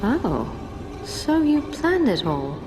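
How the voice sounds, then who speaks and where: An actress playing a composed, dignified queen in a game cutscene, formal and measured. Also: fairly high pitch, huge pitch swings, exaggerated voice. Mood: concerned. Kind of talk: video game cutscene voice acting. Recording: reverberant hall, music behind.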